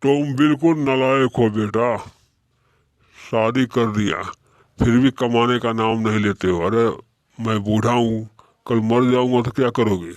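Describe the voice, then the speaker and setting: A man's voice: medium pitch, no room sound, studio quality, lightly acted. Man playing a reproachful, hurt old father. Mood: scolding. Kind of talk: moral-story cartoon dialogue.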